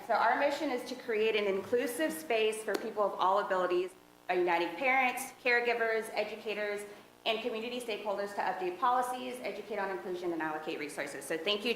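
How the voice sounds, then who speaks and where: A woman presenting to a city council work session, speaking loudly in a relaxed, conversational way with many fillers. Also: medium pitch, a little fast. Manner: loud voice. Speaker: task force presenter. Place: council meeting room. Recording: echoey meeting room with faint noise.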